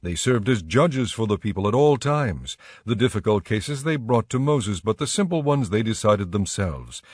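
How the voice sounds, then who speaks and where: Low-voiced male scripture narrator. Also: lively intonation, moderate pace, dry recording, studio quality. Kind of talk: scripted narration of dramatized audio Bible. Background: soft music.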